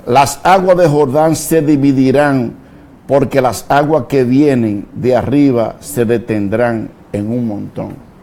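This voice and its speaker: A man preaching a fiery sermon, slow and deliberate, breaking into sudden loud shouted peaks. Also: mid-range voice.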